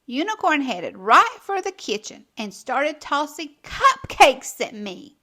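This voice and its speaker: High-pitched, animated woman storyteller. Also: slow pace, faint music behind. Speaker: children's storytime host and narrator. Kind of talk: expressive read-aloud storytelling for young children.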